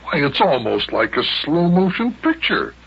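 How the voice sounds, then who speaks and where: A man's voice: on the high side, sing-song, moderate pace, vocal fry, slightly rough, full character voice. A man playing a friendly, marveling grown-up companion in a children's radio serial. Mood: amazed. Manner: exclamatory, marveling. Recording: muffled, faintly noisy old radio recording.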